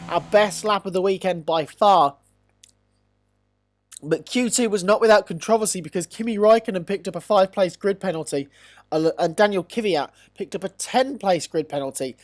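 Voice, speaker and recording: A young man with a blocked-up nose, hosting a gaming channel and giving eager racing game commentary. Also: fairly high pitch. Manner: eager, rising to excitement on fast laps.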